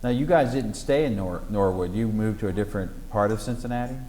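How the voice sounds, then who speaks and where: A man's voice: lowish voice, a little fast, warm tone, long reverb tail, a little hiss or hum, rough recording. A man conducting an oral history interview, asking friendly questions with frequent fillers. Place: library room.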